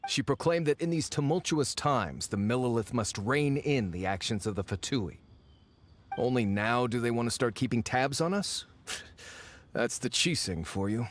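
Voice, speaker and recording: A man's voice: mid-range voice, animated, normal speed, a little hiss or hum, lightly acted. A man playing a wry agent of a shadowy faction in a video game, dry, informative and a little scornful. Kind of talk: video game character acting.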